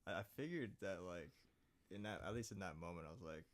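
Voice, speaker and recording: A young man from Saipan, a singer-songwriter guest, telling his story in a relaxed, rambling way with many fillers. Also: low-pitched, brisk. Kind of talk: casual interview chat.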